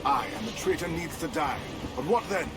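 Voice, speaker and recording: A man as high-voiced, wary pirate quartermaster. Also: animated, normal speed, natural voice. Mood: urgent, earnest. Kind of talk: video game cutscene acting. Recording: very loud music under voice.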